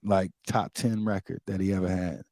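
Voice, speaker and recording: A man from the Bahamas, a music producer and DJ, telling stories in a relaxed, casual interview and acting out the voices he quotes. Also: deep voice, moderate pace, no echo, studio quality. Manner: relaxed storyteller, acts out quoted voices, drops to quiet asides.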